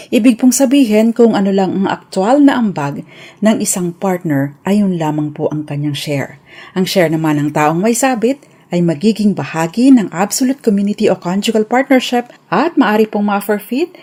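A woman's voice: medium pitch, warm tone. A woman with a Filipino accent giving formal, polite legal explanations as an attorney on a radio segment.

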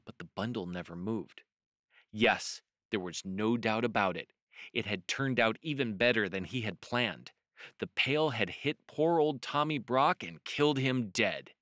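A man narrating a children's storybook as host, measured and expressive. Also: on the low side, no room sound, clean studio sound.

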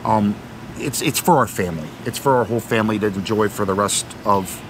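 A middle-aged man explains things in a loose, rambling way, doubling back on words. He sits outdoors at a marina, picked up by a camera a few meters off. Clear outdoor noise runs under his voice. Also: on the low side, brisk. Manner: talkative, repeats words, many fillers. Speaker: vlog co-host. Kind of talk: casual explanatory chat. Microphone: fixed camera at a few meters.

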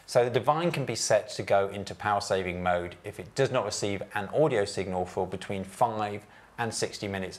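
A man in his thirties giving a methodical, scripted walkthrough of a product's menu settings, recorded in an office meeting room on a lapel mic. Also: on the low side. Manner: measured, methodical, technical explanations. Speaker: product presenter.

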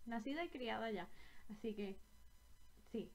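A young Puerto Rican woman talks to her webcam in a small bedroom, her voice sitting a little high. She chats in a loose, rambling way, often stopping to correct herself mid-phrase. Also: animated, moderate pace. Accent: Puerto Rican Spanish. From Puerto Rico. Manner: chatty, rambling, self-correcting. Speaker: knitting vlogger. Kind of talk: casual chat to camera.

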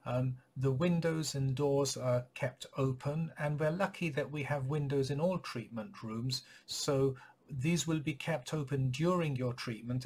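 A man in his forties speaks to camera as a clinic practitioner updating patients. His voice is mid-pitched and somewhat husky. He explains things in a measured, courteous way, recorded in a small indoor office. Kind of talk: informational announcement to camera.

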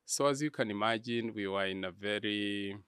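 A man with a Kenyan accent answering interview questions in a measured, halting, deliberate way. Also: fairly low pitch, fairly bright tone, smooth, dry recording, clean studio sound. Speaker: interview guest, church media executive. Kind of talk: interview conversation, reflective answers.

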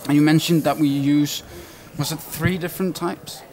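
Higher-pitched man hosting an interview. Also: steady tone, moderate pace, a little mellow. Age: 30s. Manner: curious, thinking aloud. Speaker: interview host. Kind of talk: conversational interviewing. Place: glass-walled booth at a trade show hall. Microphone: headset.

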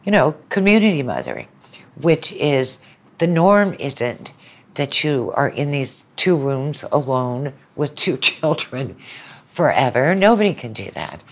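A woman's voice: a bass voice, big pitch swings, a little slow. A woman lecturer speaking as a podcast guest, explaining things in a conversational way.

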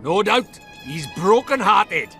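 A cheeky little game creature with a British accent. The voice is high and very lively, blunt and folksy, with music behind. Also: male voice, takes it slowly, exaggerated voice. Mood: matter-of-fact. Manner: folksy, colloquial.

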